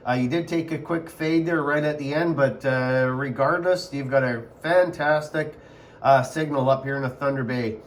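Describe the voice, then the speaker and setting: A man's voice: medium pitch, moderate pace. A man chatting casually as an amateur radio operator, repeating himself and spelling call signs phonetically. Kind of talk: casual ham radio contact.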